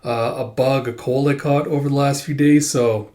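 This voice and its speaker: A man with a cold and a sore, stuffy throat recapping a manga chapter casually, halting often with filler sounds. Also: medium pitch, steady tone, a little mellow. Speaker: manga reviewer.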